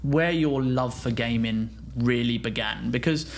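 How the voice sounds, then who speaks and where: A man hosts a podcast and asks long, rambling interview questions. His voice sits at a middle pitch, and he fills his talk with "sort of". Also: measured pace, creaky. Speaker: podcast host.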